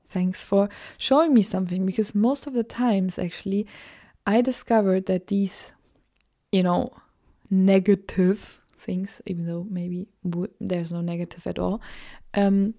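A woman with a German accent, a native German speaker, talking gently in a solo spiritual podcast and dropping into soft whispered asides. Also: medium pitch, gravelly. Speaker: podcast host.

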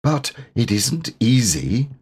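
A man voicing a gentle, musing storybook bear who speaks earnestly and hesitantly, as if composing verse. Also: medium pitch, very animated, slow pace, slightly rough, pitched above the performer's own voice, heavily acted. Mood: musing, hopeful. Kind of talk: children's storybook character reading.

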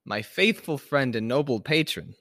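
A man's voice: medium pitch, expressive, moderate pace, a bit bright, no room sound, studio quality, lightly acted. A man playing a charming young man who gives a playful, mock-formal speech in an engaging manner.

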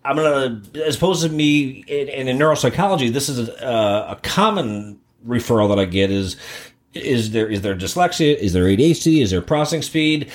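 Male psychologist host, middle pitch, loose halting delivery, office interview. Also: animated. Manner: halting, lots of fillers and restarts. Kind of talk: casual interview podcast.

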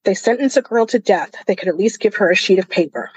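A woman's voice: medium pitch, lively intonation, fast talker, a little mellow, breathy edge, dry recording, low-quality recording. A woman voicing a sardonic, defiant young female prisoner, a snappish master forger in a fantasy storybook. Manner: growling, snappish.

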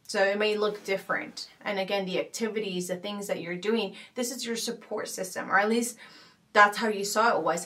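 A woman hosting a podcast, speaking in a thoughtful, casual way with frequent pauses, fillers and restarts. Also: mid-range voice, distant mic. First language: not Arabic. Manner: thoughtful, many fillers and restarts, gentle laughs. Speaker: podcast host. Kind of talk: casual reflective talk.

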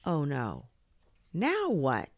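Woman playing an exasperated, high-pitched young boy. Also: very animated, slow, creaky, dry recording, heavily acted. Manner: indignant, questioning.

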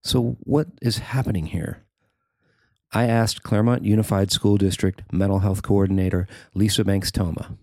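Gravelly low-voiced man narrating. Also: steady tone, normal speed, darkish tone, no echo, studio quality. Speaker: writer and narrator. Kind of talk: scripted narration of a personal feature.

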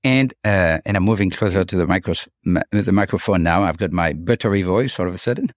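Man, french accent, podcast host, halting with fillers, dry close sound. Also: sits low, no echo. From France. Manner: halting, many fillers and restarts. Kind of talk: casual interview chat.

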